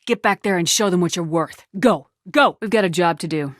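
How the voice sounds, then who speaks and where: A woman's voice: lowish voice, brisk, no echo, clean studio sound, close to an everyday voice. A woman acting as a tough, confident space-navy commander in a video game, with a firm, controlled voice and a blunt, curt, assertive delivery. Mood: blunt, commanding. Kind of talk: game dialogue, isolated voice lines.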